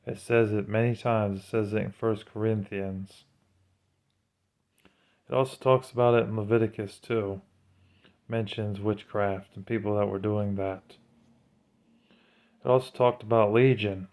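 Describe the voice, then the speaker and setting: A man's voice: on the low side, darkish tone. A man delivering an informal, sermon-like monologue as a religious vlogger, speaking in an earnest, admonishing way. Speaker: vlogger giving religious commentary.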